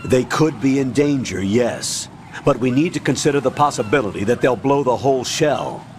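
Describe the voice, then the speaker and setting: An actor playing a stern, authoritative older military commander in a video game, giving a measured, commanding briefing. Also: male voice, medium pitch, expressive, darkish tone, slight background noise, close to an everyday voice. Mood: firm.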